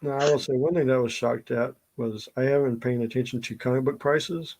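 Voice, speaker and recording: A man's voice: mid-range voice, fairly even intonation, brisk, a little mellow, poor audio. A middle-aged man with a Southern US accent chatting casually and telling stories in a rambling way. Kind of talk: casual chat, storytelling.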